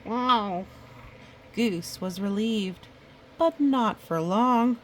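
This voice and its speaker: Slow, expressive woman storyteller. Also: mid-range voice, slight background noise. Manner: expressive, exclaiming, addresses young listeners. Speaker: picture-book read-aloud host. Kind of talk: children's storybook narration with chatty asides.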